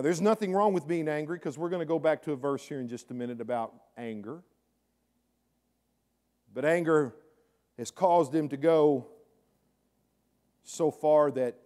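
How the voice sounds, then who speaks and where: A middle-aged man preaching a sermon from a church stage, chatty and then suddenly loud, often repeating his words. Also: on the high side, unhurried, pauses often. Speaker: pastor. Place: large church sanctuary with stage, indoors.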